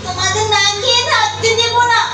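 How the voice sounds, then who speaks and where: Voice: extremely high pitch, steady tone, fairly quick. A performer voicing a determined, protective young boy in a puppet show, speaking in an earnest, pleading way. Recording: very echoey, distant, loud music behind.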